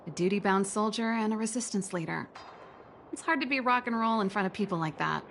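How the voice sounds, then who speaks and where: A woman's voice: medium pitch, brisk, creaky, fairly bright tone, a little hiss or hum. An actress playing a headstrong, laid-back young woman rocker with a casual, wry manner in a video game. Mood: casual, determined.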